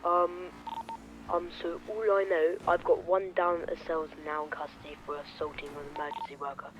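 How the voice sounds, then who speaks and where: A man's voice: on the high side, flat delivery, normal speed. Hesitant British man on muffled radio. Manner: hesitant, many ums, unsure. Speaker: police officer in roleplay.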